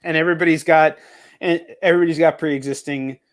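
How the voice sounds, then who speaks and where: A man with a fairly high voice talks like the host of a casual online show. His delivery is blunt and conversational, with plenty of swearing. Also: steady tone, quick pace. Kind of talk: casual video-chat interview.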